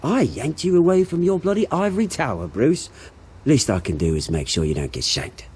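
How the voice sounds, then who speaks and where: A man's voice: a little high, sing-song, moderate pace, darkish tone, husky, full character voice. An actor with a British accent playing a cocky, bitter, theatrical fallen rich man in a video game. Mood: cheeky, brash. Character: bitter, scrappy fallen rich man, childhood friend turned volatile.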